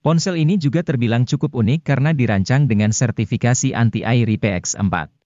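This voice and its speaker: A man's voice: mid-range voice, brisk, darkish tone, no room sound, lo-fi sound. A man reads a formal, informative scripted voice-over for a gadget review. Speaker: narrator of gadget review videos. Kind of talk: scripted narration.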